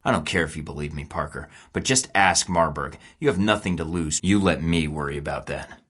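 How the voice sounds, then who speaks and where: Sarcastic game spy, really deep voice, dry and clipped. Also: male voice, animated, a little fast, a bit bright, slightly rough, exaggerated voice. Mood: defiant. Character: tough sarcastic male field agent. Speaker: video game protagonist, a spy. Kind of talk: game voice lines.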